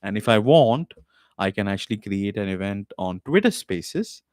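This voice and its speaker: A man with a fairly low voice hosts a live podcast stream from a small home setup. He talks in a loose, rambling way, full of "uh"s and restarts. The desk mic sound is dry and close. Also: lively intonation, normal speed, a little mellow, dry recording. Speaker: podcast host. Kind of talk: casual live-stream talk. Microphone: desk mic with podcast recorder.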